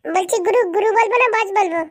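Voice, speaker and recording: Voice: very high-pitched, quick pace. A performer voicing an eager, fawning young village boy who trails after his boss in a comic cartoon. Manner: deferential, chatty, fawning.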